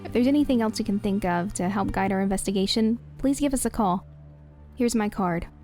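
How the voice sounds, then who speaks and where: A woman's voice: medium pitch, brisk, warm tone, lightly acted. Woman doing a formal lady detective asking pointed questions, music behind. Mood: probing. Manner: formal, probing. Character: poised female police detective. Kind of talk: audio drama acting.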